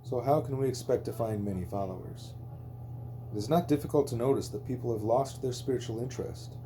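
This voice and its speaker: A man's voice: medium pitch, fairly quick, dark tone, a little hiss or hum. A man reading a scripted interview aloud as an audiobook narrator. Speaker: audiobook reader.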